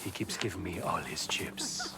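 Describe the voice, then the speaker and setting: Smug low-voiced male gambler, acted by a man. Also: moderate pace, very noisy, natural voice. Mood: mocking. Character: smug, taunting male high-stakes casino gambler. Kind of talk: video game cutscene acting. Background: music.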